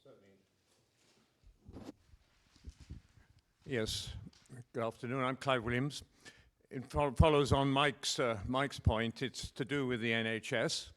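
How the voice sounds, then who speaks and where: A man in the audience asking a question at a public meeting, speaking in a measured way with small restarts. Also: mid-range voice, steady tone, a bit bright.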